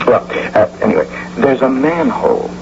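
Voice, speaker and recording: A man acting a confident heist accomplice in a radio mystery, setting out the plan step by step in a measured way. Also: mid-range voice, animated, gravelly. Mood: assured, methodical. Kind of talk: radio mystery drama dialogue. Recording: dull, hissy old radio broadcast.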